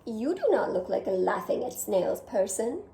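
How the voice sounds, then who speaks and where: A woman's voice: mid-range voice, big pitch swings, moderate pace, vocal fry, warm tone, a little hiss or hum. A woman voicing a vain, dramatic male French snail who speaks theatrically, admires himself and talks straight to the listener. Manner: theatrical, self-admiring, addresses listener directly. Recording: roomy echo.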